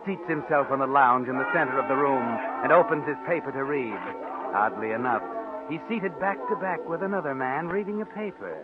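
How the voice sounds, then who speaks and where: An actor as the mysterious narrator of a 1940s radio mystery, telling the story in a knowing, wry way. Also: male voice, mid-range voice, expressive, a little fast, natural voice. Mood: sly. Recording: tinny old radio broadcast with loud music.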